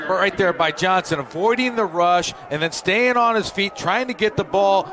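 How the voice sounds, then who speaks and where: A man giving color analysis of a football game, conversational and halting, often repeating words as he thinks. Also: high-pitched. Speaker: color commentator. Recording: broadcast with crowd noise behind.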